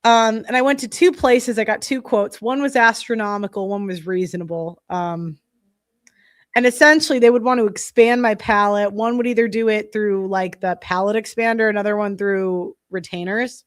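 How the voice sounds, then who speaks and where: A woman's voice: mid-range voice, moderate pace. Nasal young woman, blunt and rambling. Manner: rambling, blunt, many fillers. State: breathing heavily. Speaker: podcast host. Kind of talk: casual chat to viewers.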